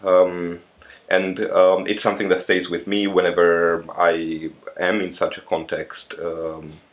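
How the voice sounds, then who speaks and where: Really low-voiced romanian guy in his 30s, slow thoughtful interview answers, lots of ums and restarts. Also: flat delivery. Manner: thoughtful, halting, many fillers and restarts.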